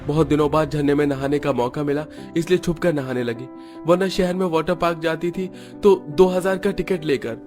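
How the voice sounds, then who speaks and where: An exasperated young husband in a family story, acted by a man. His pitch is pushed up and he complains with emphasis. Faint music sits behind him. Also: fairly even intonation, moderate pace, a little mellow, natural voice. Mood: exasperated complaint. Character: exasperated younger son scolding his wife to his mother.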